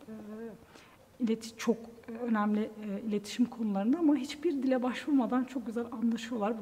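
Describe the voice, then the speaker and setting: A woman's voice: on the high side, warm tone. A woman, an educator giving an interview testimony. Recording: long hall reverb.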